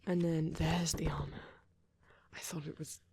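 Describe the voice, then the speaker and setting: A woman voicing a shy vampire boy who rambles gently in an ASMR roleplay, soft-spoken and hesitant, slipping into breathy whispers. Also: low-pitched, level tone, a little slow, no room sound, heavily acted. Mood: curious, gentle.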